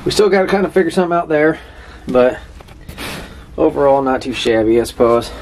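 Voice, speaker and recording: A man's voice: mid-range voice, animated, brisk, a little mellow, recorded from a distance. A man in his thirties chatting casually in a folksy, slangy, easygoing way for a DIY vlog. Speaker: vlogger and channel host. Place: small plywood-lined camper shell on a car. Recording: clearly noisy, with ambient background sound.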